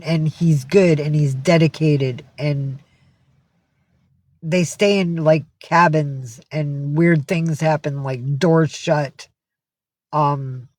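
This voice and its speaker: A woman's voice: lowish voice, dry recording. Female livestreamer, casual and blunt, rambling delivery with loose swearing, recorded dry at home. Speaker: livestream host. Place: indoors at home with cats.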